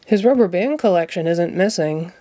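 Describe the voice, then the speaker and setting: A woman's voice: on the low side, animated, moderate pace, dark tone, close to an everyday voice. A woman voicing an earnest, plaintive, childlike male puppet from a children's storybook. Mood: puzzled. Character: earnest, childlike male puppet who loves his bath toy.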